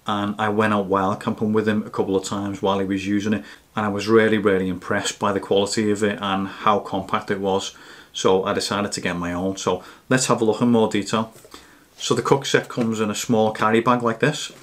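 Low, even-voiced man. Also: brisk. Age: 30s to 40s. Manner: matter-of-fact, explanatory. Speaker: camping gear reviewer. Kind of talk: casual product review to camera.

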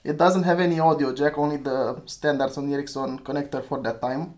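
A man's voice: fairly high pitch, fairly even intonation, fairly quick, a little mellow. Chatty man reviewing an old phone. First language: Romanian. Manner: chatty, thinks aloud, laughs at own slips. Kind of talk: casual hands-on review.

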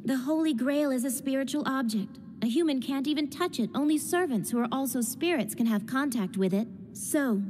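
Teenage girl, high voice, brisk, explanatory, assertive, anime dub. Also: animated, darkish tone. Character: brisk, impatient, knowledgeable teenage girl mage. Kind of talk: English anime dub acting.

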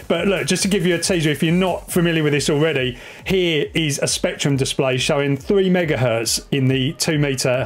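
A man in his forties presenting a casual, enthusiastic, informal tech review and explaining as he goes. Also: a little high. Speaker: amateur radio vlogger and presenter. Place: small indoor room, home radio shack.

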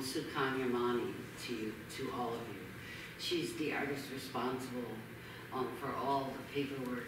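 Woman curator reading an artist bio, lots of ums, far off in a big echoey room. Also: rumbling low. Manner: hesitant, many fillers, reading a bio. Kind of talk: gallery introduction, partly read aloud. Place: large gallery hall, indoors. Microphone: handheld mic heard from far off. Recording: echoey hall recorded from far off, background noise.

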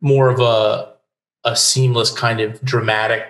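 Mid-pitched man in a roomy space. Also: level tone, a little slow, fairly bright tone. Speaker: interview guest, writer. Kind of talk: casual interview chat. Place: book-lined home room, indoors. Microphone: desk microphone on a video call. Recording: roomy home video call.

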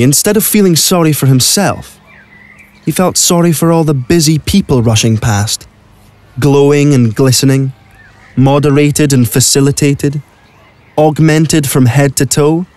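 A man's voice: fairly high pitch, a little slow, darkish tone, no echo. A man narrating a scripted story for an audio play.

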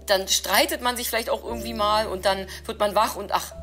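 Relaxed woman with faint music behind. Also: mid-range voice, fairly quick. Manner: relaxed, hesitant with fillers. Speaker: interviewee. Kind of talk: casual interview answers. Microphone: video call.

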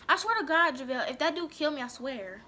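Young female gamer, bossy and foul-mouthed, high and piercing. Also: lively intonation, fast talker, bright, forceful tone, slight background noise. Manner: bickering, bossy, swears a lot. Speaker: gaming vlogger. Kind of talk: casual gameplay commentary.

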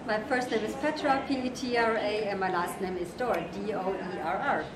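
A woman's voice: mid-range voice, normal speed. A woman in her forties from Germany with a German accent, speaking in an upbeat, promotional way as a wedding chapel partner in an interview. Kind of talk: on-camera interview. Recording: echoey room with loud crowd.

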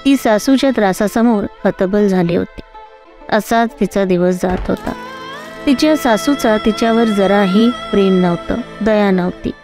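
A woman reading a scripted moral story in a plain, steady way. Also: medium pitch, a little slow, music in the background. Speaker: narrator.

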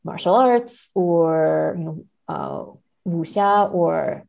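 Woman academic guest for a podcast interview, lowish mellow voice, thoughtful and careful in explanation. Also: lively intonation, drawn-out words, vocal fry. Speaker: podcast guest, literary scholar.

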